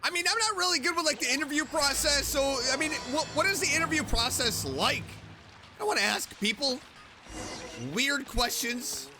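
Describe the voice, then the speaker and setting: A woman's voice: sits high, moderate pace. A woman doing casual let's play commentary, playful and chatty, reacting aloud to game characters. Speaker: streamer playing and reacting to a game. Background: game music.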